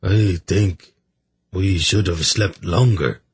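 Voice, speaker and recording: A wretched, self-pitying royal in a fantasy tale, put on by a man. He moans weakly and plaintively in a breathy, husky voice, drawn out and full of suffering. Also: sits low, lively intonation, takes it slowly, dry recording, full character voice. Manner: plaintive, weak moaning.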